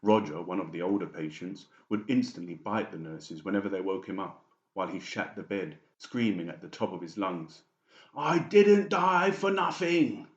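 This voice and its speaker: A man's voice: deep voice, steady tone, brisk, roomy sound, dull audio. A man reading scripted narration for a dramatised audiobook.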